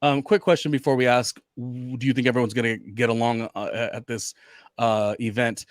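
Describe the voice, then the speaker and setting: A man's voice: medium pitch, a little fast, dry recording. Chatty man hedging his questions, dry sound. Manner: hedging, animated, lots of fillers. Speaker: podcast host. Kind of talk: casual reality TV recap chat.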